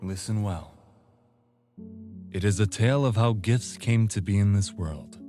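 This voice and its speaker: A grave storyteller, played by a man, recounts an ancient legend in a fairly low voice. His narration is solemn and measured, with music clearly underneath, in the manner of a fantasy audio drama. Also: warm tone, natural voice. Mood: solemn storytelling.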